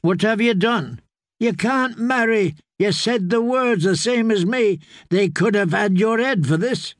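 An earnest, bashful young man, performed by a male narrator, with a raised pitch and a flustered, pleading manner. He sounds like the timid hero of a fantasy audiobook, in a dry studio sound. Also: lively intonation, unhurried, no room sound. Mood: shocked, reproachful.

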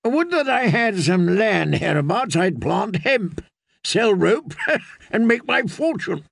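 A man's voice: high-pitched, sing-song, slow pace, creaky, dry recording, studio quality, voice pushed up, exaggerated voice. A man voicing a mocking, sardonic knight in a storybook, with a jesting way of talking.

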